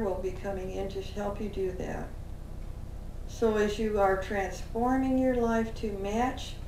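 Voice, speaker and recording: A woman's voice: medium pitch, mellow, a little hiss or hum. A woman channeling a spiritual message for a group in a meeting room, speaking in an earnest, uplifting way and drawing out words. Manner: slow, earnest, uplifting delivery with drawn-out words. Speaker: channeling medium. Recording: roomy room sound from a distant mic.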